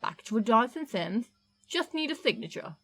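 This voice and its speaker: Woman playing towering delivery men, gruff, Cockney accent, jovial, storybook reading. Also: on the high side, sing-song, normal speed, a bit bright, heavily acted. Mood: curt, cheerful.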